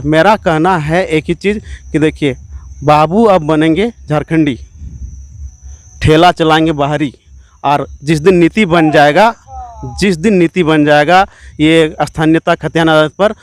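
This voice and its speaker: A man's voice: a little high, a little slow, no room sound. A man giving earnest, emphatic, repetitive political answers in an interview as an activist.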